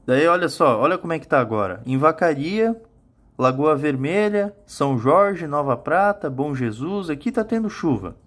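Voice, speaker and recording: A man's voice: fairly high pitch, expressive, normal speed. A man in his thirties with a southern Brazilian accent, giving a colloquial weather forecast as a meteorologist and often checking in with his viewers. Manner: colloquial, explanatory, addresses viewers with frequent check-in tags. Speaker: meteorologist, weather channel host. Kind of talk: informal weather forecast to camera. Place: small home office with monitors, indoors.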